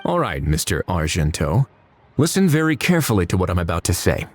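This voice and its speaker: An actor playing a calm, shrewd, laid-back official investigator in a video game, with a measured, dry delivery. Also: male voice, sits low. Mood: calm, authoritative.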